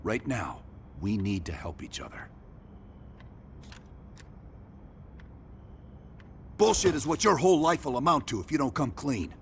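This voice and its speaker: An actor playing a gruff, ruthless American intelligence operative and war veteran, pressing and commanding, in a video game cutscene. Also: male voice, mid-range voice, sing-song, fairly quick, slight background noise. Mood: angry, pressing. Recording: music behind.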